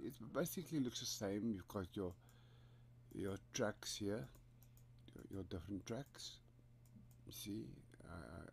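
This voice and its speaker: A man with an Afrikaans accent talks slowly through a software demo, his voice sitting a little low. He hesitates often, restarting phrases as he goes. A faint hum sits under the home-studio recording. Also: gravelly. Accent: South African Afrikaans. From South Africa. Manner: rambling, hesitant, many fillers and restarts. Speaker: composer giving a software tutorial. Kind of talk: casual screen-recorded software walkthrough.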